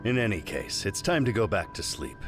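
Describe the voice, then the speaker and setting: A middle-aged male scientist in a game cutscene, low in pitch. He is clinical, detached and condescending, explaining things flatly over prominent music. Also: a little fast. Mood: matter-of-fact. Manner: clinical, matter-of-fact, condescending. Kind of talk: scripted video game cutscene acting.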